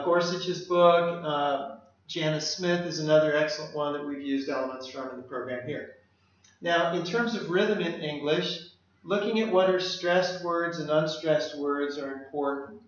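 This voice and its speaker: This is a middle-aged man with a fairly high voice, presenting his teaching work at an even pace and filling gaps with "uh". The room gives his voice a strong echo. The sound is muffled and lo-fi. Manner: measured, with frequent "uh" fillers. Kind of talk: application presentation walking through slides. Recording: echoey room, dull lo-fi sound.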